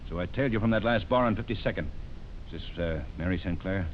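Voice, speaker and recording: An actor playing a gruff, businesslike police detective in a vintage radio drama, brisk and commanding. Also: male voice, low-pitched, natural voice. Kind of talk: 1940s radio drama acting. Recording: muffled, noisy old radio broadcast.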